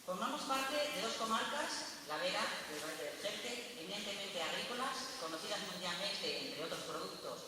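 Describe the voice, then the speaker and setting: A woman's voice: medium pitch, animated, moderate pace, few pauses. A woman with a Spanish accent from Spain delivers a formal public speech in short oratorical phrases. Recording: echoey noisy hall, distant microphone.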